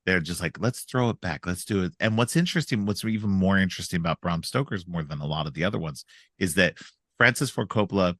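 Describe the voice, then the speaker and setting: A man's voice: low voice, fast, a bit bright, no room sound, clean studio sound. A middle-aged man hosting a casual film podcast, stuffed up from a cold, rambling with frequent restarts. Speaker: podcast host.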